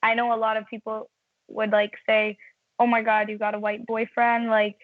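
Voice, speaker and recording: A woman's voice: a little high, completely flat delivery, normal speed, no room sound. A woman from India, English not her first language, talking earnestly as a guest. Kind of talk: casual conversation. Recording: tinny phone line.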